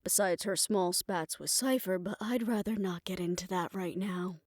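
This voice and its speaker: A woman playing a weary, proud aging fixer talking softly and wryly to her lover in an intimate roleplay audio drama. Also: lowish voice, normal speed, no room sound, clean studio sound, natural voice. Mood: wry, confiding.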